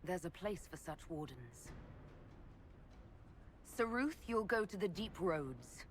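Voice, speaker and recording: A woman's voice: lowish voice, very animated, slow pace, slight background noise. An actress playing a stern female leader in a video game who speaks firmly and directly. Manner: firm, direct.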